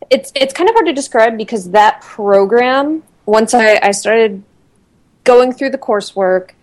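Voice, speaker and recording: A woman's voice: medium pitch, darkish tone, no room sound. A woman in her thirties from Connecticut, a guest chatting casually in an interview, with lots of fillers and restarts. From Connecticut, USA.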